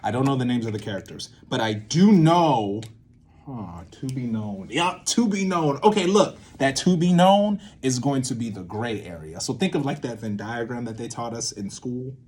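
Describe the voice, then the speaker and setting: A young man explaining in a casual, halting way, thinking aloud and often repeating and checking himself. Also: medium pitch, animated, a little mellow, husky. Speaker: creator teaching a creative method.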